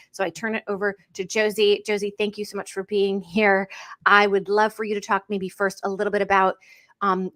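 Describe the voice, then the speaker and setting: A woman's voice: mid-range voice, fairly bright tone. The woman you'd hear at the start of a professional networking livestream, chattily welcoming everyone from a room at home or work. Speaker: host of a live online talk series. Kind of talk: welcoming interview introduction. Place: indoors on a livestream, near a window.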